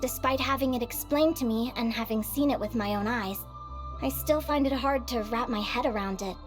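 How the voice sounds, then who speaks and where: A woman acting as an upbeat, self-assured young advisor in a video game, speaking in a friendly, expressive, conversational way. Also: fairly high pitch, normal speed, music in the background, lightly acted. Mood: determined.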